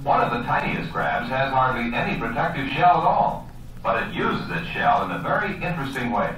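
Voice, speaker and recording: A man narrates an educational nature film in a lively, varied delivery, explaining each point straight to the viewer. His voice comes through very echoey and distant, with clear music behind it. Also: medium pitch, brisk. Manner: explanatory, addresses the viewer directly.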